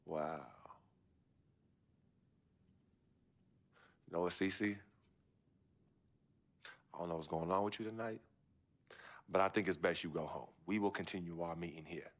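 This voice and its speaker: A man's voice: low voice, moderate pace, pauses often. An actor playing a loyal young basketball star in a video game drama, speaking earnestly and firmly to his sister. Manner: earnest, firm, pleading. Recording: music under the dialogue.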